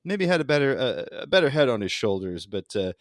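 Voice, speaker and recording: A man's voice: mid-range voice, sing-song, fairly quick, no room sound, clean studio sound. A man bantering casually about politics as a podcast co-host, profane and sardonic.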